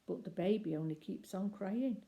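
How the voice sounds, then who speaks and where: A woman reading a children's story aloud expressively, with deliberate phrasing and emphatic pauses. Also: medium pitch, vocal fry, lo-fi sound.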